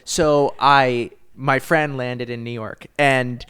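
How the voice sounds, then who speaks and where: A man's voice: medium pitch, fairly even intonation, takes it slowly, fairly bright tone, smooth. A man as a podcast guest, telling stories eagerly in a casual chat, often restarting his sentences. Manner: enthusiastic storyteller, restarts often.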